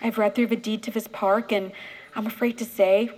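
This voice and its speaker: A woman's voice: medium pitch, little pitch movement, moderate pace, darkish tone, lightly acted, rough recording. An actress playing a poised, self-promoting town councilwoman with a formal, speechifying manner in a comic audio drama. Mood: officious.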